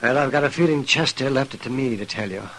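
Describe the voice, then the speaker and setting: An actor playing a grave, frank older American town doctor with a husky voice in a western radio drama. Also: male voice, mid-range voice, quick pace, fairly bright tone, a little hiss or hum, lightly acted, poor audio. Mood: grave, reluctant.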